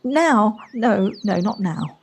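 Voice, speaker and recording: A woman's voice: mid-range voice, lively intonation, takes it slowly, slightly rough, exaggerated voice. A woman playing a polite, effusive, wistful character in an amateur audio drama. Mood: cheerful, polite. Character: Josie, wistful, polite, effusive woman rediscovering childlike freedom. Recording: faint noise, home-recorded on personal device.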